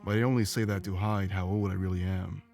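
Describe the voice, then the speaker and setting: Deep, weary, ancient game companion. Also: male voice, flat delivery, fast talker, creaky, darkish tone, soft background music. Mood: reluctant confession. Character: ancient, weary, remorseful male anthropomorphic animal companion. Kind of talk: visual novel game voice acting.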